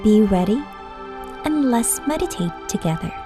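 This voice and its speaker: A woman reading a scripted introduction in a calm, measured, instructive way. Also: a little high. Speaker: narrator of program introduction. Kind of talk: scripted narration. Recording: clear new-age music bed under voice. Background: new-age music.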